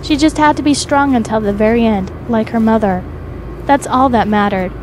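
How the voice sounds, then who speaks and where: A woman's voice: on the high side, moderate pace, background noise. A woman reading scripted narration for a dramatic fan fiction audio story. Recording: music behind the voice. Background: music.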